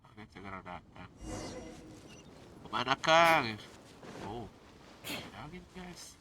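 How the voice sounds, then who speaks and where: A man's voice: mid-range voice, very animated, takes it slowly, pushed and bright, a little hiss or hum. A man who is a native Indonesian speaker, giving casual commentary while playing a video game. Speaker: gamer commenting on gameplay. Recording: distant mic under clear game music. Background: video game music.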